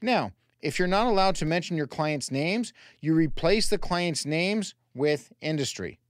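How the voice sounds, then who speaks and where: A male sales coach narrates a scripted training lesson in a fairly high voice. His intonation moves up and down a lot as he speaks straight to the listener with emphasis. The sound is close and dry, like a studio voice-over. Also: animated, measured pace, no echo. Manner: emphatic, direct address with rhetorical questions. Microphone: close voice-over microphone.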